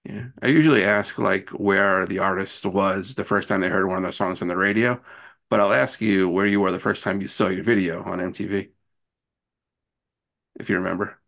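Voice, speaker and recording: A middle-aged man interviews in a casual, conversational way. His voice sits fairly low with heavy fry, and he is agreeable, often dropping in brief acknowledgements. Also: fairly even intonation, normal speed, fairly bright tone. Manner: agreeable, frequent short acknowledgements. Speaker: interviewer, host.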